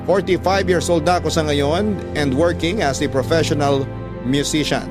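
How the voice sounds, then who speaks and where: A man's voice: on the high side, steady tone, moderate pace, over loud music, natural voice. A man playing a middle-aged musician who narrates a life story as a scripted letter to a radio show. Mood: reflective.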